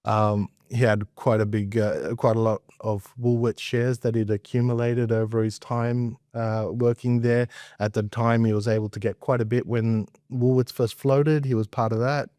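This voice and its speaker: An Australian man in middle age chats as an interview guest, recounting things in a casual way. His tone is dark and relaxed at an average pitch, recorded very dry and close. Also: normal speed, few pauses, dry recording. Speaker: interview guest, investment professional. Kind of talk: casual conversational storytelling.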